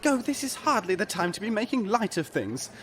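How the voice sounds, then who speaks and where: A male actor playing a pompous, fretful rich gentleman with genteel old-fashioned phrasing in a comic audio drama. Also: high voice, big pitch swings, fairly quick, slight background noise. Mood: panicked. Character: fussy, panicky rich gentleman, pompous and perfumed.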